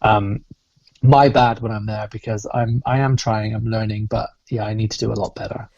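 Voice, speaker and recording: Gravelly, husky man on a lo-fi call. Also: on the low side, level tone, normal speed, a little mellow, no room sound. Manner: reflective, laughs often, restarts phrases. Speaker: cruise entertainment director, guest. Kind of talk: casual podcast interview.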